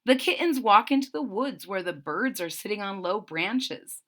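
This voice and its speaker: A woman reading aloud to children, expressive and explanatory. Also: mid-range voice, moderate pace. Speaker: storybook reader.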